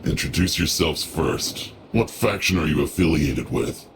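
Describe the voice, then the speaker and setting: A man's voice: extremely low pitch, measured pace, background noise. A man voicing a secretive, wary debt collector in a video game, curt and businesslike. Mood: guarded.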